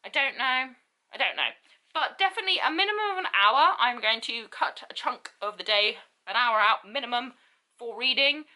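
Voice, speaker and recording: A woman's voice: a little high, expressive, normal speed, sharp tone. A young woman vlogging casually to camera, rambling with many ums and repeats. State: stuffy, sore throat, lethargic. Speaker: book vlogger. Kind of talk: casual vlog talk to camera.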